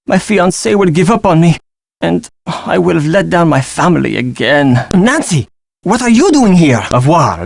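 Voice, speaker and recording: A man's voice: fairly high pitch, vocal fry, no echo, clean studio sound, exaggerated voice. Theatrical young Frenchman, swooping delivery. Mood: pleading, then indignant.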